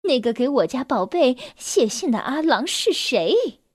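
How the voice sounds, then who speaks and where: A woman's voice: high-pitched, sing-song, a little slow, husky, dry recording, clean studio sound, heavily acted. A woman voicing a protective mother sheep who is indignant and demanding.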